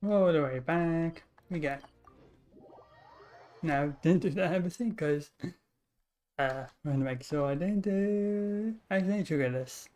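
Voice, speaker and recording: A woman giving casual gameplay commentary as a streamer, halting, with murmured asides. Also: deep voice, a little mellow, soft background music. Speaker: streamer playing a game.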